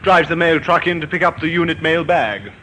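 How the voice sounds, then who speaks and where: A male soldier in an old radio drama, formal and military in manner. His voice sits high and bright, with animated swings of pitch, on a faintly noisy aged recording. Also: a little fast. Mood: formal, helpful. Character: clipped male British army officer or soldier. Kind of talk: 1950s radio drama acting.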